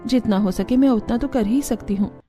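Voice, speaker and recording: A woman plays a gentle, self-sacrificing young village mother in a cartoon story. Her voice is fairly high yet very mellow, tender and earnest, over background music. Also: normal speed, lightly acted. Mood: resigned.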